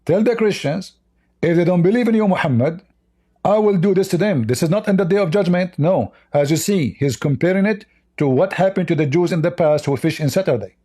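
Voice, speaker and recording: A man's voice: sits high, fairly quick, dark tone, slightly rough. A man who is a non-native English speaker, debating religion in an argumentative, repetitive way and cutting in on the other speaker. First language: not English.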